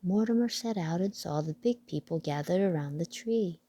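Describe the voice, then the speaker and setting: A woman reading a children's picture book aloud with deliberate storytelling phrasing. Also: fairly low pitch, dark tone, no echo.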